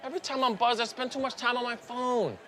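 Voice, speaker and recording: A man's voice: extremely high pitch, fast, sharp tone. An actor playing a goofy, buzzed young friend fixated on his phone in a public service ad. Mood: tipsy, upbeat.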